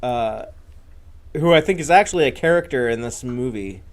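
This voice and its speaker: A man in casual conversation as a show panelist, talking in a halting, rambling way. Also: medium pitch, animated. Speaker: panelist on a live pop culture show. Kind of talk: casual chat, reminiscing.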